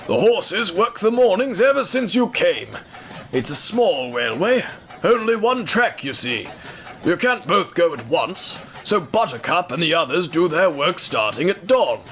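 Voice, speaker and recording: Casting: a man as an older male talking freight wagon, genial and teasing, raised lively pitch, faint noise behind. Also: heavily acted. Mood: amused. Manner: folksy, patient, teasing.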